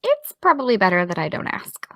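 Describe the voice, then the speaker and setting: A woman playing a caring, gently teasing young woman in an audio drama, speaking in a casual, slightly tentative way. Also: medium pitch, wildly swooping pitch, a little fast, dry recording, studio quality. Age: young adult. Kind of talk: naturalistic audio drama dialogue.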